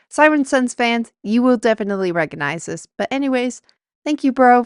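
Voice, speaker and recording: A woman's voice: on the high side, clean tone, no echo, clean studio sound. A woman hosting her own audio show, speaking in a rambling, informal way. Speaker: creator and host. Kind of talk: informal episode introduction.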